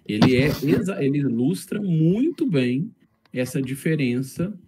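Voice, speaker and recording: A man's voice: medium pitch, sing-song, measured pace, dark tone. A man with a Brazilian accent explains things casually as a guest on a podcast. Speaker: podcast guest, game master. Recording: roomy echo with music behind. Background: background music.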